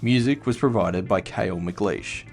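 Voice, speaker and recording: A man's voice: lowish voice, unhurried, vocal fry, darkish tone, faint music behind. A man giving a scripted first-person narration of an audio fiction story as its author. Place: dry, close recording space.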